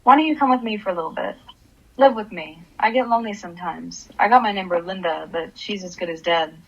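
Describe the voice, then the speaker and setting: A woman's voice: medium pitch, fairly quick, fairly bright tone, husky, lo-fi sound. A woman playing a troubled, grieving divorced mother in a radio play, subdued and brooding. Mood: needy.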